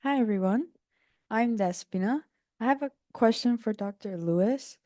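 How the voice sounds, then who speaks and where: A young woman in the audience asks a question in a casual, searching way. Her voice is warm and mellow, and the sound is dry and close. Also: fairly high pitch, normal speed, no echo. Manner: casual, searching phrasing with fillers. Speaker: audience member asking a question. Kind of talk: conference Q&A question.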